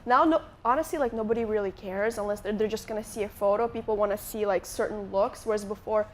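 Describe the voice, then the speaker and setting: A woman's voice: medium pitch, quick pace, a little hiss or hum. A woman in her late twenties from Moldova, a guest chatting onstage in a live interview, with lots of likes and restarts. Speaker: guest YouTuber. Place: conference stage before a live audience.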